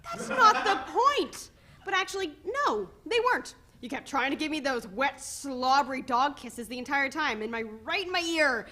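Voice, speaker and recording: A woman's voice: high voice, very animated, moderate pace, sharp tone, exaggerated voice. A woman playing an exasperated, sarcastic pregnant teenage girl in a dramatic reading of a stage play. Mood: exasperated, angry. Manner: sarcastic, scolding.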